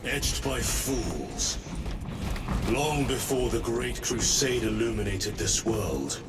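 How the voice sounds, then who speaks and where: An actor playing a grim, suspicious space marine battle brother in a video game, with solemn, formal, deliberate speech. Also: male voice, mid-range voice, animated, loud music behind the voice. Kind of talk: video game cutscene acting.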